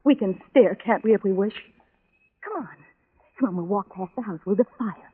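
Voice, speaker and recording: A woman's voice: mid-range voice, normal speed, breathy edge, natural voice. An actress playing a lovesick, obsessive young woman in an old-time radio drama, pleading with impassioned, bitter anguish. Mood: bitter, obsessed. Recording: tinny vintage radio recording with music.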